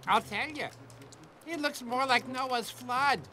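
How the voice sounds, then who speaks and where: An actor voicing a fussy centuries-old alchemist in a cartoon, complaining dramatically. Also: male voice, very high voice, slow, bright, forceful tone, slight background noise, heavily acted. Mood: wry. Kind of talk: cartoon comic acting.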